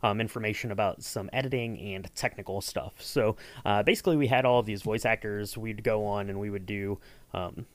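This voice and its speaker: A man's voice: on the low side, fairly quick, no echo. A man hosting a casual behind-the-scenes podcast, talking in a loose, hesitant, rambling way. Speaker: podcast host.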